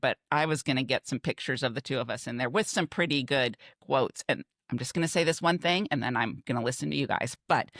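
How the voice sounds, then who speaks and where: A woman's voice: extremely low pitch, fairly bright tone, no echo, studio quality. A woman in her fifties with an American accent, reminiscing casually as a podcast co-host.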